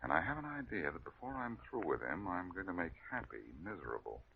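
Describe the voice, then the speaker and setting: An actor playing a confident, wry amateur sleuth with an American accent in a 1940s radio detective drama. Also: male voice, low-pitched, very animated. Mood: wry, needling. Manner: wisecracking, brisk. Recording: muffled, narrow old radio recording.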